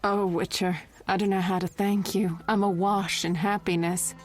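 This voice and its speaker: A woman's voice: medium pitch, level tone, moderate pace, soft background music, lightly acted. An actress playing a heartfelt, lovestruck woman in a video game, speaking earnestly. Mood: relieved, hopeful. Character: lovestruck, heartfelt woman pleading for her beloved. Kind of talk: video game dialogue acting.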